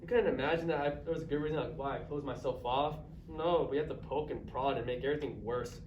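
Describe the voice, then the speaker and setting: A man's voice: medium pitch, fast, highs cut off, close to an everyday voice. A male drama student playing a bitter, closed-off, self-centred man in a staged reading. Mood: angry, exasperated. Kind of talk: staged reader's theatre performance. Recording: distant echoey noisy live stage recording.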